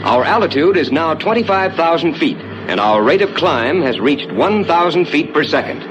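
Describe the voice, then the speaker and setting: An actor playing a calm, reassuring rocket ship captain who explains the flight to passengers in measured, courteous 1950s ride narration. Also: male voice, a little high, dull audio. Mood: composed, instructive. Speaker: spaceship captain and tour narrator. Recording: noisy vintage 1950s ride soundtrack.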